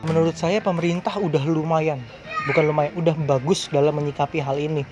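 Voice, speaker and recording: A young man in his twenties gives casual, slangy interview answers. His voice sits fairly high. Faint music runs underneath. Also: fairly even intonation, a little fast, lo-fi sound. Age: 20s.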